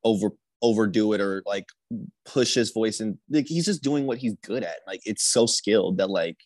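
A man talking about his singing in a casual conversation, soft-spoken and self-deprecating, with plenty of fillers. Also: lowish voice, moderate pace, no room sound. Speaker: singer guest.

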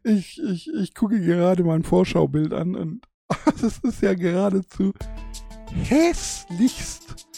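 A man's voice: high-pitched, animated, takes it slowly, vocal fry, warm tone, slightly rough, soft background music. A young man hosting his own podcast in casual chat. He lisps through a gap in his teeth, laughs often and mocks himself. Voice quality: lisp from a tooth gap. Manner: chatty, laughs often, self-mocking. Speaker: podcast host. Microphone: studio microphone.

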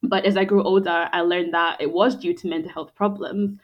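A woman's voice: on the low side, steady tone, fairly quick, muffled recording. A woman in her twenties from Scotland, born in Zimbabwe, giving reflective, conversational answers as an interview guest. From born in Zimbabwe, grew up in Scotland. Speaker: podcast guest, psychology and counselling graduate.

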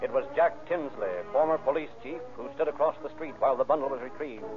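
Male narrator, rapid, formal, dramatic, tinny vintage radio, clear music behind. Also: mid-range voice. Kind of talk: scripted old-time radio crime narration.

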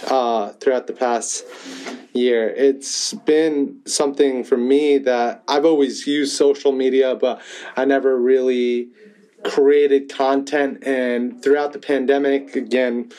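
A man in a casual team chat, talking in an easygoing, measured way. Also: mid-range voice, a little mellow. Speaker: team member.

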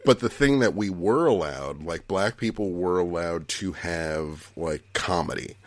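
A man's voice: deep voice, measured pace, dry recording. A man in his forties co-hosting a podcast, chatting casually, laughing often and restarting his sentences. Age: 40s. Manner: laughs often, thinks aloud with many restarts. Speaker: podcast co-host. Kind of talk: casual chat.

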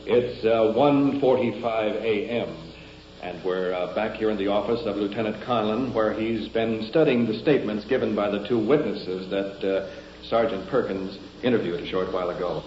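A man's voice: fairly low pitch, moderate pace, a little hiss or hum. A man reporting on the scene as a police recorder, conversational and a little hesitant. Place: police office and garage. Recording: muffled, echoey old field radio recording.

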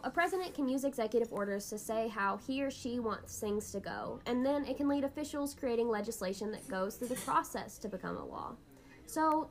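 A woman with a fairly high voice speaks as the host of an educational podcast. Her delivery is scripted and explanatory, laying out each point like a lesson.

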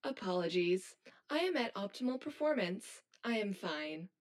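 A woman's voice: mid-range voice, very animated, normal speed, close to an everyday voice. A woman acting as an anxious, hollow female robot in an audio drama, speaking in formal, stilted, literal phrases. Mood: flat, empty.

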